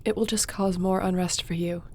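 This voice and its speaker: A woman's voice: fairly low pitch, fairly even intonation, moderate pace, a little hiss or hum, lightly acted. A woman acting as a loyal, affectionate court sorceress in a fantasy audio roleplay, with a heartfelt delivery. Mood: firm, sincere.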